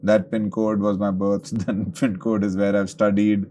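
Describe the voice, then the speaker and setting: A man's voice: on the low side, little pitch movement, normal speed, dark tone, a little hiss or hum. A man in his thirties from Bengaluru with an Indian English accent, chatting as a comedian guest and slipping into Hindi phrases. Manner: chatty, drops into Hindi phrases, self-deprecating. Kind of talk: casual interview chat.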